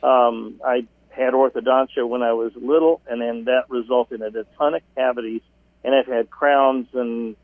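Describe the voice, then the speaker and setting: A man whose first language is Italian, a guest on a radio show, telling his story in a halting, amused way. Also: medium pitch, fairly even intonation, no echo. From United States. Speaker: guest, dental patient. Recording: tinny phone-like line.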